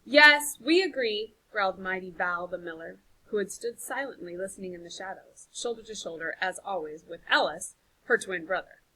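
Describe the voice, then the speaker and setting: A woman's voice: on the low side, brisk, hardly any pauses, bright, forceful tone, husky, poor audio. A woman narrating a children's storybook expressively, like a teacher reading aloud.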